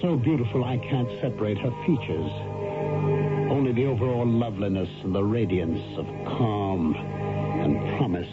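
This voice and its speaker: Actor as haunted commuter, dull radio sound. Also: male voice, on the low side, over loud music, natural voice. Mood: awed, afraid. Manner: intense, halting, dramatic. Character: troubled married male commuter, haunted, fearful and torn. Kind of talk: 1970s radio drama acting with first-person narration. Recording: dull old radio broadcast recording.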